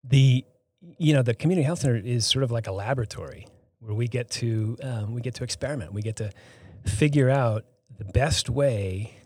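A middle-aged man from the Boston area, a physician and health center CEO, answering interview questions thoughtfully with many pauses and restarts. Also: mid-range voice, a little mellow, breathy edge. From Boston area, United States. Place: studio.

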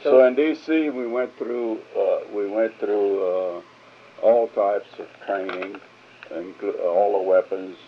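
An older man telling his memories in a field interview, speaking haltingly with fillers and restarts, on a muffled field recording. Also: fairly low pitch, a little hiss or hum. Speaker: interviewee, veteran.

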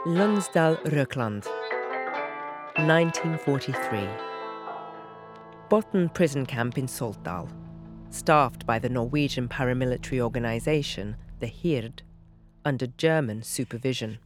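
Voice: mid-range voice, a little slow, pauses often. A narrator reading a scripted, factual introduction and historical commentary for an audio drama. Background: plucked guitar music.

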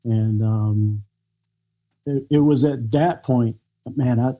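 An American man, a podcast guest, telling a personal family story in a halting, reflective way with many pauses and fillers. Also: mid-range voice, highs cut off. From United States. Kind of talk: podcast interview conversation.

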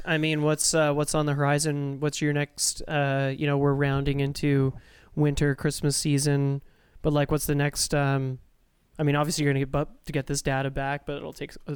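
A man from Canada hosting a podcast, chatting informally in a halting, effusive way. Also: fairly high pitch, flat delivery, clear, resonant tone, no room sound. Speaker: podcast host. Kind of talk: casual podcast chat.

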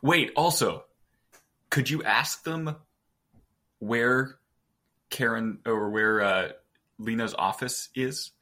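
A man playing a tabletop game, eagerly planning out loud with the group. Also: mid-range voice, takes it slowly, long pauses. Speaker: player. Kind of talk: casual game chat.

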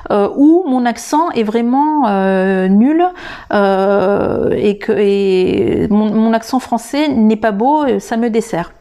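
A woman hosting a podcast lesson, talking to the listener informally and pausing often with filler sounds. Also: on the high side, warm tone. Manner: hesitant, many filler sounds and restarts; addresses the listener directly and informally. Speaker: English teacher and podcast host.

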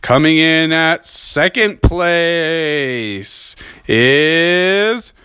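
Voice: medium pitch, bright, forceful tone, smooth, no room sound, studio quality. An adult storyteller voicing a formal contest announcer in a bedtime story, drawing the words out. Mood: announcing. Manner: drawn-out, announcing.

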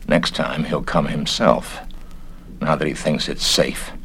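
A man's voice: very deep voice, level tone, slow pace, a bit bright, voice pushed down, heavily acted. A man voicing a cool, sly, sinister host in a storybook reading, with sardonic politeness. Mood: calculating. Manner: smooth-mannered, sardonic.

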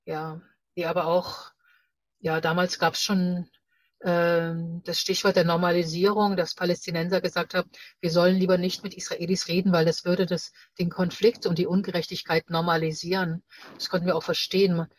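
Lowish, dry-sounding mature woman, reflective. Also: level tone, normal speed, no echo, low-quality recording. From Germany. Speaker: interview guest, journalist and activist. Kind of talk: personal recollection in an interview.

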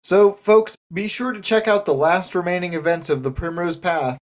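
A woman's voice: on the low side, normal speed. A woman host moderating a scripted comic radio talk show.

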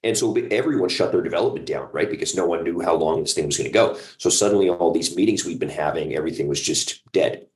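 A man's voice: deep voice, fast talker, few pauses, low-quality recording. A man talking casually as a guest in an interview, explaining his creative work in a conversational way. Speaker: guest, audio drama creator.

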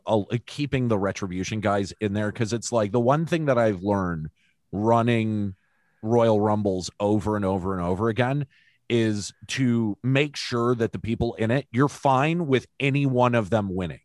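A man's voice: fairly low pitch, normal speed, a little mellow, no room sound, studio quality. A man co-hosting a casual podcast, laid-back, swearing now and then, his voice strained. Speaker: podcast co-host. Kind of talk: casual chat.

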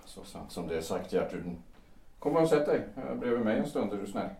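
Man acting a cynical low-voiced playwright, echoey room. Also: sing-song, darkish tone. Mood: softly courting. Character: cynical, vain, world-weary male playwright, teasing about love. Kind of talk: period stage play as audio drama. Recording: roomy echo, distant from the mic.

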